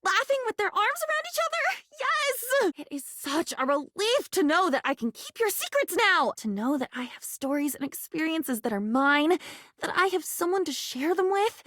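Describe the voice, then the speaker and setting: A woman's voice: very high-pitched, big pitch swings, normal speed, no room sound, clean studio sound, full character voice. A woman voicing a sweet, naive and chatty living diary in a video game, rambling with wide-eyed wonder. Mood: joyful, relieved. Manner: breathless rambling, wide-eyed, swings from hushed to gushing.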